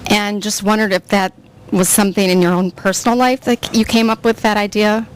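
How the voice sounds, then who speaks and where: A woman's voice: mid-range voice, steady tone, brisk, clean tone. A woman in an audience asking a casual, slightly tentative question in informal words.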